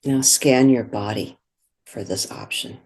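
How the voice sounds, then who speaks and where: A meditation guide speaking in a gentle, soothing, unhurried way, giving slow instructions with long pauses. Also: mid-range voice, lively intonation, slightly rough.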